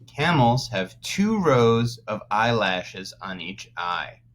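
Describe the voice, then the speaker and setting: A man teaching young children through a read-aloud, pausing to explain words as he goes. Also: fairly low pitch, a bit bright. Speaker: first-grade teacher.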